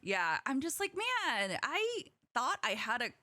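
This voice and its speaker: Chatty, giggly female podcast host, Korean first language, lively pitch. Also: no echo. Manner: giggly, chatty, quick to laugh. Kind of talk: casual podcast chat.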